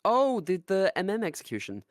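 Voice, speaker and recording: A podcast co-host chatting casually in an animated, jokey way and swearing freely. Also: mid-range voice, brisk, no echo, clean studio sound. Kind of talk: casual chat.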